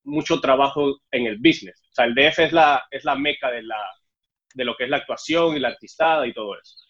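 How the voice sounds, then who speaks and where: A man's voice: mid-range voice, a little fast, fairly bright tone. Cuban-born man with a Mexican accent. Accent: Mexican Spanish. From Cuba. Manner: storytelling, acts out dialogue with raised voice. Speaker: interview guest. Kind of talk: casual interview chat. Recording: dull muffled audio.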